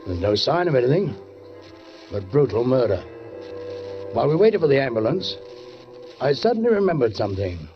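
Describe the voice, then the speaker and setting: An actor playing a suspicious, deliberate police sergeant who narrates a murder case in a 1940s radio drama, with measured, pointed pauses. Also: male voice, mid-range voice, big pitch swings, faint music behind, natural voice. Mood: grim, ominous. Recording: dull 1940s radio recording.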